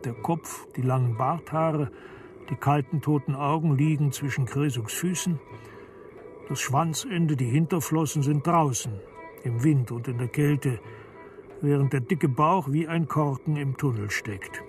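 Slow, higher-voiced man narrating over music. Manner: measured, deliberate storytelling. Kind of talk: literary scripted narration of a radio play.

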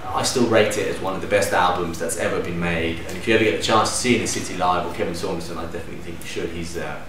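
A man in his thirties from Denmark, a DJ and producer, answering interview questions to camera in a relaxed, enthusiastic way with lively hand gestures. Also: sits low, very fast. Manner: relaxed, enthusiastic, gestures with hands while talking. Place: living room at home, indoors. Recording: echoey room, distant mic, faint hum.

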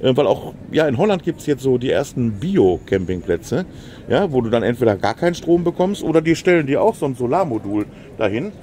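A man's voice: medium pitch, very animated, fairly quick, dark tone. A man in casual conversation at an outdoor fair stand, chatty and often tagging on "ne?". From Germany. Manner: chatty, keeps adding "ne?". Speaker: vlogger interviewing an exhibitor. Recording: outdoor recording with clear background noise.

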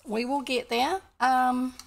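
A woman's voice: high-pitched, fairly even intonation, slow, gravelly. An older woman chatting casually and in a rambling, informal way as a livestream host. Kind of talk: casual chat to livestream viewers. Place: indoor room, home streaming setup.

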